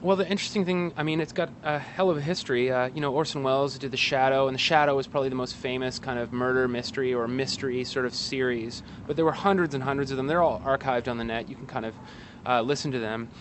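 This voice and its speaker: Man, medium pitch, casual interview guest, hesitant wry delivery, outdoor city alley, light street noise. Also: fairly even intonation, fast. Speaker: guest, actor and playwright.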